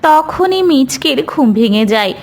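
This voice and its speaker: Female storyteller for animated moral tale, high voice, slow scripted delivery. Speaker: narrator. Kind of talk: scripted children's story narration.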